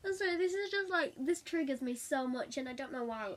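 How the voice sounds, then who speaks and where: A woman chatting casually as she tells a story in an animated, giggly way. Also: high voice, fairly quick. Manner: animated, giggly, acts out quotes. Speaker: vlogger telling a story.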